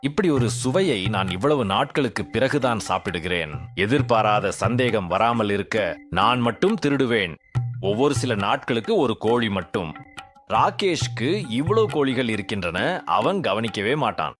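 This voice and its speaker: A man's voice: lowish voice, big pitch swings, unhurried, a bit bright, soft background music. A man voicing a lazy, sly, greedy village thief in a children's story, with theatrical exclamations. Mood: smug. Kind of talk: children's moral story acting.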